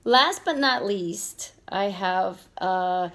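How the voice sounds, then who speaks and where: A woman's voice: medium pitch, animated, very slow, fairly bright tone. A woman from Venezuela hosting a casual family vlog, rambling a bit with restarts and fillers as she talks. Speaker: vlog host and stay-at-home mom.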